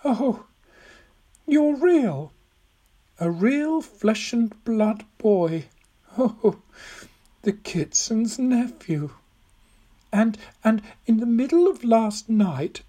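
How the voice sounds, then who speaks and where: A narrator voicing a little old Victorian woman in an audiobook, insistent, tender and delighted, with drawn-out phrases. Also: medium pitch, animated, dark tone, pitched above the performer's own voice. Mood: amazed, insistent. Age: older. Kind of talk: audiobook character voice.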